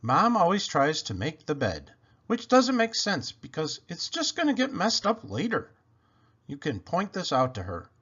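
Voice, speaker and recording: A man reads a picture book aloud for children with playful emphasis. His voice sits a little high and swings widely up and down. The recording is slightly dull and lo-fi. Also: wildly swooping pitch, a little slow, a bit bright, breathy edge. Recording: dull, lo-fi sound.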